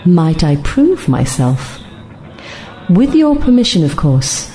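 A woman playing a polite, composed telepath in an audio drama, measured and courteous. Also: low-pitched, big pitch swings, mellow, heavily acted.

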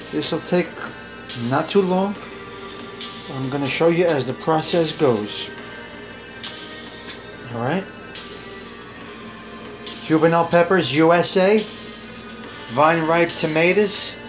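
Man, home cook vlogger, slightly high voice, casual ingredient rundown, phone audio in a kitchen with music behind. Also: slow, pauses often. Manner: chatty, listing ingredients with fillers. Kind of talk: casual cooking walkthrough.

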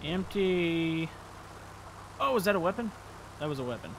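A man's voice: on the high side, sing-song, normal speed, slight background noise, poor audio. A man chatting casually with teammates while playing a multiplayer roleplay game. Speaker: gamer in a multiplayer roleplay group. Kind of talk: casual gameplay chat.

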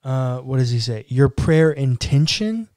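A young man, a pastor, talking on a podcast in a measured, earnest and reflective way. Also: medium pitch, expressive, darkish tone, no room sound, studio quality. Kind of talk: casual chat. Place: small home studio room. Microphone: boom-arm podcast mic.

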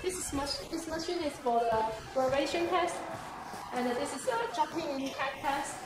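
A young woman guiding visitors through an office and factory, speaking haltingly with many fillers. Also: sits high, music in the background. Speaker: company guide. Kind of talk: factory tour walkthrough. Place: office and factory floor, indoors. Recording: noisy factory and office background.

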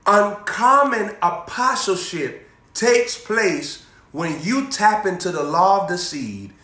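A young man preaching an energetic sermon, repeating phrases and throwing questions to his listeners. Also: high-pitched, takes it slowly. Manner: animated, repetitive preaching with call-and-response questions, moving around. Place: indoor room in front of a banner backdrop. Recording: echoey room.

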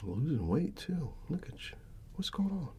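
A middle-aged American man doing a soft-spoken ASMR barber roleplay, breathy and often near a whisper, with an unhurried, halting, fatherly manner. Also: a little high, sing-song, slight background noise. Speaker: ASMR performer playing a barber.

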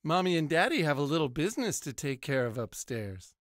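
An actor playing a middle-aged, high-strung American suburban dad in an animated comedy game, foul-mouthed and falsely cheery. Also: male voice, fairly quick, no echo, clean studio sound. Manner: swings between sugary pet names and shrill cursing.

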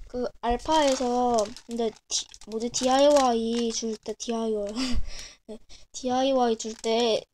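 A young woman with a cold, hoarse and clearing her throat often, chatting casually as she shows her handmade miniature crafts at a desk. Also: on the high side, a little mellow, a little hiss or hum. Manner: chatty, frequent throat clearing and self-corrections. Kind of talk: casual show-and-tell narration. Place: small indoor room at a desk. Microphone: camera over a desk, speaker off screen.

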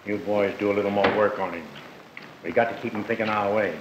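Roomy, dull old film sound frames an actor as a determined male defense lawyer. He talks quickly in a low, forceful voice, like a man with a plan. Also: steady tone, background noise, natural voice. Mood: urgent, determined. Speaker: actor playing a defense attorney. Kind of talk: old film drama acting.

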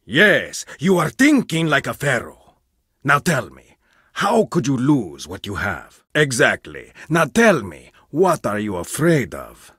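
A man's voice: mid-range voice, wildly swooping pitch, slow, fairly bright tone, husky, no room sound, heavily acted. An actor playing an arrogant, condescending male archaeologist in a video game, lecturing theatrically with a haughty manner. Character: arrogant egomaniac male archaeologist, middle-aged. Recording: dry studio booth.

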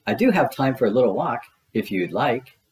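A man voicing a kind, reassuring young boy in a gentle, encouraging manner. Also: mid-range voice, sing-song, moderate pace, natural voice.

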